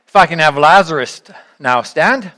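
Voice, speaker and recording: A woman leads a congregation through a reflective sermon. She speaks in measured, earnest phrases, often breaking them into short pieces. She poses rhetorical questions and leaves pauses between her points. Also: deep voice, expressive, a bit bright, a little hiss or hum. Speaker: church speaker leading an interactive service.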